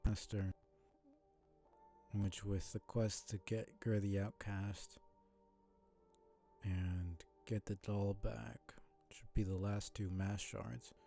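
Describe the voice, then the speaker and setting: A man's voice: lowish voice, flat delivery, slow, creaky, pauses often, warm tone, soft background music. A man casually commentating a live video game stream, rambling along in a mumbly, mildly annoyed way.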